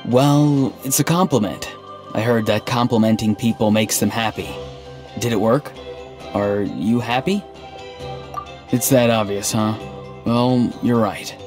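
An actor playing an earnest, odd, melancholy young man in a video game, speaking in a halting, stiffly sincere deadpan. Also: mid-range voice, lightly acted. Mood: sincere, awkward, then gloomy, pleading. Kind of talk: video game dialogue acting. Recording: game music underneath. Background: background game music.

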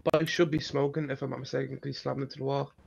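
A man's voice: medium pitch, flat delivery, very fast, gravelly, husky, lo-fi sound. A man playing a police roleplay game, giving casual radio chatter.

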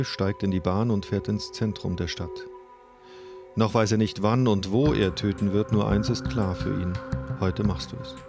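A man's voice: on the low side, darkish tone. A man reading a scripted, dramatic true-crime narration. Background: clear background music.

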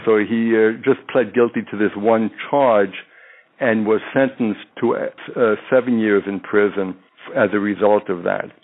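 A man's voice: fairly low pitch, fairly even intonation, measured pace. A retired undercover agent in his fifties, a native French speaker, recounting cases in a rambling interview full of fillers. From born in France, raised in the United States. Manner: rambling, many fillers and restarts. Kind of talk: interview storytelling. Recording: tinny phone-line audio.

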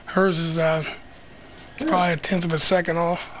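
A man with a hoarse voice giving chatty, rambling play-by-play at an outdoor track meet for local TV. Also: on the high side, flat delivery, moderate pace, a little hiss or hum. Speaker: local TV commentator. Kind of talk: casual play-by-play commentary.

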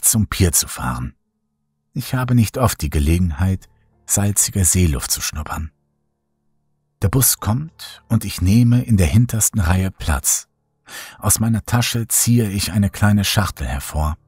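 A man narrating a horror story in first person, slow and brooding, over faint background music. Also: sits low, a little mellow, husky, no room sound, studio quality. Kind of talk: scripted horror audiobook narration. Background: faint music bed.

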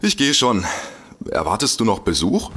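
An actor playing an easygoing, polite and slightly bumbling detective's sidekick in a comedic audio drama. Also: male voice, fairly low pitch, huge pitch swings, normal speed, background noise. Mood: chatty, casual.

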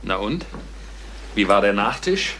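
An actor playing a teasing, nosy police colleague in a radio crime play. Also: male voice, on the low side, sing-song, a little slow, sharp tone, slight background noise, full character voice, poor audio. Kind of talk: German radio crime drama acting.

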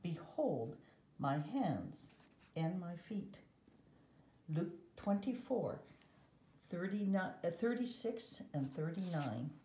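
A woman reading a children's Bible picture book aloud with measured, deliberate phrasing and pauses, recorded indoors. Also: lowish voice, sing-song, mellow, roomy sound, slight background noise. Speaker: storybook reader.

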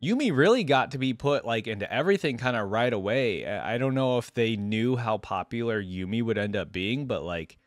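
A man's voice: medium pitch, expressive, fairly quick, few pauses, no echo, clean studio sound. Young male reactor, mid-range voice, casual commentary, reads text out, game music underneath. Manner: casual, often reading on-screen text aloud. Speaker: reaction video host. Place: indoor gaming setup. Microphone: desk microphone close to the speaker. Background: game video music.

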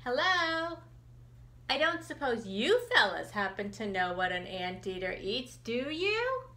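A woman's voice: a little high, very animated, measured pace, bright, forceful tone, pitched above the performer's own voice, heavily acted. A woman voicing a polite, earnest, hungry anteater in a picture book read aloud, courteous and questioning. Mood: polite, curious.

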